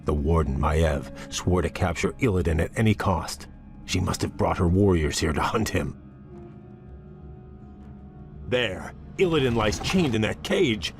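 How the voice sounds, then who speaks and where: A deep, noble elven prince speaks in formal, commanding tones, earnest and proud. It is fantasy game cutscene acting with prominent music underneath. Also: male voice, big pitch swings, unhurried, vocal fry, pauses often, exaggerated voice. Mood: grave.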